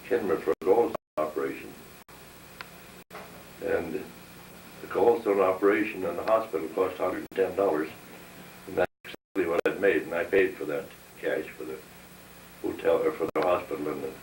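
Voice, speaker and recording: A man's voice: low-pitched, normal speed, long pauses. An elderly man from North Dakota, recounting his life in a plain, matter-of-fact way. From North Dakota, United States. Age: 70s or older. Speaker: interviewee, war veteran. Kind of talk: oral history recollection. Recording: roomy, distant microphone, background hiss.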